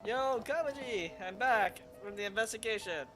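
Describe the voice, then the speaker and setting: Man streaming a game over very loud music. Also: high-pitched, animated, normal speed. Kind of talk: casual playthrough commentary, reading dialogue choices aloud. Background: loud video game music.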